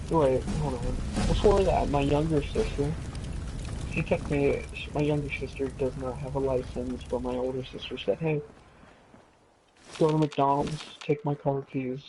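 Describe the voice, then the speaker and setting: A young man around twenty talks casually as he plays a game, wandering off track and swearing freely. His pitch sits in the middle for a man, and there is clear noise behind him. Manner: sweary, digressive. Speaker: gaming streamer. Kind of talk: casual rambling storytelling while gaming.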